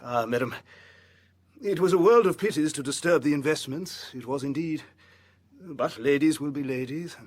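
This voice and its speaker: An actor playing a fussy, obsequious, gossipy broker in a period audio drama, fawning and hesitant. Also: male voice, on the high side, normal speed, lightly acted.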